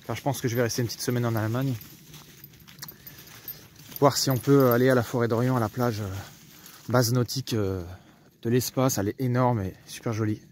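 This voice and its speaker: A man's voice: mid-range voice, fairly even intonation, a little fast, pauses often, darkish tone, slight background noise. Rambling young man vlogging from a camper van. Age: young adult. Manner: chatty and rambling, with many fillers. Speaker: travel vlogger. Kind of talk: casual vlog narration. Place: outdoors in a foggy forest and parking lot, also inside a camper van. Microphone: handheld camera at arm's length.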